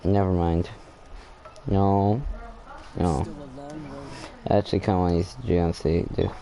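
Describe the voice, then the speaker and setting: A young man giving casual, halting gameplay commentary, thinking aloud as he plays. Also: low voice, level tone, mellow, low-quality recording. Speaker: gaming video host.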